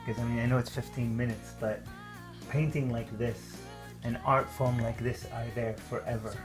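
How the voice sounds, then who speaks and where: An earnest man speaking as an indie film director, thinking aloud with a halting delivery. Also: medium pitch, steady tone. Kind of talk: interview answers and addressing a group. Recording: background guitar music. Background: music.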